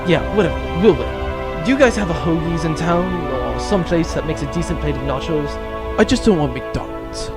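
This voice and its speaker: A man's voice: fairly high pitch, animated, normal speed. A pompous, easily distracted space captain, acted by a man, in a comic sci-fi radio play. He speaks in grand heroic speeches that wander into rambling, with music playing underneath. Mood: distracted, hungry. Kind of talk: comedic sci-fi audio drama acting.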